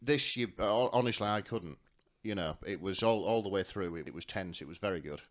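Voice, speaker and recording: A man's voice: deep voice, brisk. A man co-hosting a podcast in casual conversation, sounding a little hungover, recorded indoors on a podcast microphone. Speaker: podcast co-host. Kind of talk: casual chat. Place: living room table, indoors.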